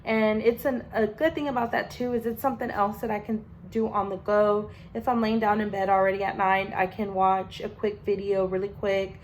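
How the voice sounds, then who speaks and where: Young woman student rambling casually about her language class, middle pitch, faint background hiss. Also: level tone, normal speed, few pauses, rough recording. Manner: chatty, full of fillers and restarts. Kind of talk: casual spoken class presentation.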